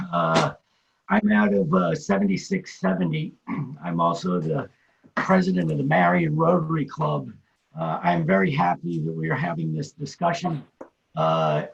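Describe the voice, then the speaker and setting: A man's voice: fairly high pitch, creaky. A man giving unscripted remarks as a participant on a video call, with muffled, dull audio. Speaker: club president in a meeting.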